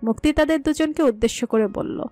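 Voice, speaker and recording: A woman narrating a romantic family story in a calm, even manner. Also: high voice, fairly quick. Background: soft music.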